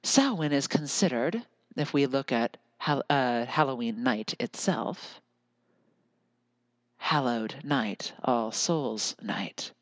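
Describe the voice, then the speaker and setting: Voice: medium pitch, expressive, takes it slowly, no echo. An American podcast host speaking alone in an earnest, musing way, often drifting into lyrical repetition. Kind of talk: reflective solo podcast monologue.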